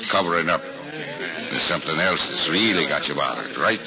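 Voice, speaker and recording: A man's voice: extremely low pitch, fairly quick, crowd noise. An actor playing a kindly, fatherly working-class cab driver with an American accent and folksy, colloquial speech in a mid-century screen drama. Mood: concerned, coaxing. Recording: muffled, noisy old archival film soundtrack.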